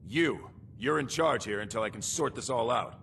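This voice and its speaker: An actor playing a stern, overbearing guard captain in a fantasy video game, commanding and accusatory in manner. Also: male voice, mid-range voice, animated, normal speed, creaky, a bit bright, a little hiss or hum. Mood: outraged.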